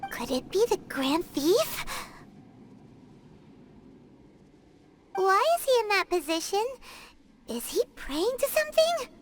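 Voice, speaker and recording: A woman voicing a tiny, childlike, easily spooked female fairy companion in a video game, squeaky and expressive. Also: normal speed, slight background noise. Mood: wary. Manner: refers to self in third person, hesitant and expressive. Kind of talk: animated video game acting. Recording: game music behind the voice. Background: orchestral game music.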